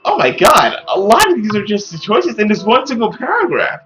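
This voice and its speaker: Young man, animated gaming commentary. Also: sits high, normal speed, slight background noise. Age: young adult. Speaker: gaming video host. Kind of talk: casual playthrough commentary. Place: small room indoors.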